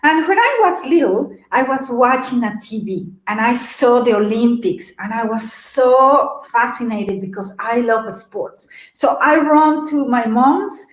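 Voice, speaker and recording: Mexican-accented woman, bright and echoey. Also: fairly high pitch, unhurried, hardly any pauses. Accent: Mexican Spanish. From Mexico City. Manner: lively, animated storytelling, asks audience questions. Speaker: webinar presenter. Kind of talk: motivational webinar talk.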